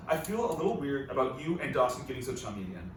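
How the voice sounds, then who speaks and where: A man acting an excitable, insecure, blunt husband at a live comic table read, blustery and exclamatory. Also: medium pitch, fast, close to an everyday voice. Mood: uneasy, jealous. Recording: echoey room, distant mic, faint noise.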